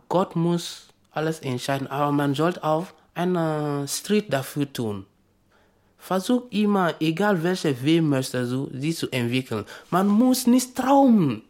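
A man's voice: on the high side, darkish tone. A man speaks off the cuff. He urges the listener on in short, emphatic phrases, like someone handing out life advice.

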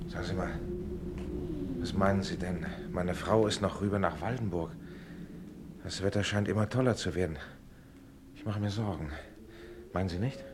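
An actor playing a worried, courteous hotel owner in a radio drama, speaking in an educated, businesslike way. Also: male voice, low-pitched, lightly acted. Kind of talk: stage-style German radio drama acting. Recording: noticeable background noise and ambience.

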